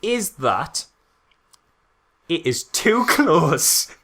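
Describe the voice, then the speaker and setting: A man's voice: a little high, sing-song, drawn-out words, pushed and bright. A man co-hosting a podcast, critiquing a film in casual chat with an exasperated, sarcastic manner. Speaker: podcast co-host.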